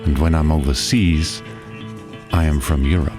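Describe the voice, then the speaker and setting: A man from Austria, narrating a reflective travel voice-over and asking slow, musing questions. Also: a bass voice, fairly even intonation. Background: guitar music.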